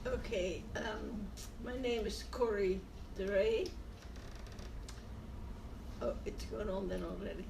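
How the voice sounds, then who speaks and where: A woman, recalling her past in short, halting phrases during an oral history interview. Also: medium pitch, creaky, noisy background. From Netherlands. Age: 80s. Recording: echoey room, distant from mic.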